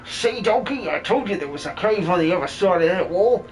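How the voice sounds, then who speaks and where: A man voicing a boastful but cowardly muscle-bound miner with rough, cheery working-class speech in a video game fan dub. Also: high-pitched, lively intonation, a little fast, soft background music. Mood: boastful, eager.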